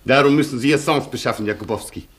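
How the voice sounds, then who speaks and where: An actor playing a proud, gallant Polish aristocrat colonel in a German radio play, with a Polish accent and an imperious, grandiloquent way of talking. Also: male voice, medium pitch, fast talker, close to an everyday voice. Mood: lofty, commanding. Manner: grandiloquent, imperious, broken formal syntax.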